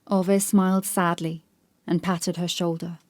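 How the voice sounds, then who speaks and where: A woman reading scripted literary fiction as an audiobook narrator, in a measured, serious way. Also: on the low side, flat delivery, darkish tone, dry recording, studio quality.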